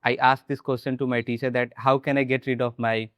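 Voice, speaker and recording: A man with a Bihari Indian English accent who trains English learners. He talks in a relaxed, encouraging, conversational way on a video call. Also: medium pitch, fairly quick, clean tone, no echo, clean studio sound.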